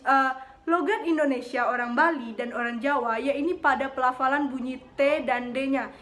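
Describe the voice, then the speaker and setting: A young woman student reading a class presentation, steady but with frequent hesitant fillers. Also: high-pitched. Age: young adult.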